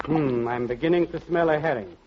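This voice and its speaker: An actor playing a gallant, theatrical opera singer with formal grand manners in a 1940s radio comedy. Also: male voice, a little high, fairly quick, slight background noise, close to an everyday voice. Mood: suave. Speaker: singing comic actor in a radio show. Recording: muffled vintage radio recording.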